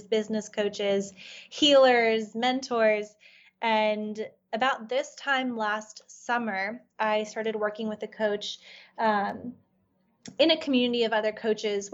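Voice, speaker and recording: A woman's voice: mid-range voice, lo-fi sound. A woman whose first language is Farsi, a life coach telling a personal story in an earnest, reflective way with many "you know" fillers. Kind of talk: storytelling in a podcast interview.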